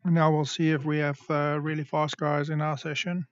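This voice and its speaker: A man's voice: on the high side, flat delivery, clean tone, no echo, muffled recording. A man, streaming a sim race and casually explaining how the car handles while chatting with viewers. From South Africa. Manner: easygoing, explains car handling between pauses. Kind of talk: live-stream commentary while racing.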